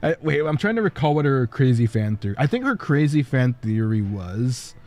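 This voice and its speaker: Guy streamer rambling and laughing a lot, like like like, soft music playing behind. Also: mid-range voice, sing-song, normal speed. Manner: rambling, lots of fillers and laughter. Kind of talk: casual livestream chat. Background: faint background music.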